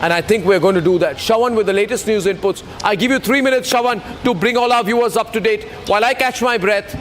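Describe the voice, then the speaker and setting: Male news anchor, Indian English accent, high voice, impassioned and declamatory live commentary, noisy broadcast sound. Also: quick pace. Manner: strident, declamatory, repeats phrases for emphasis.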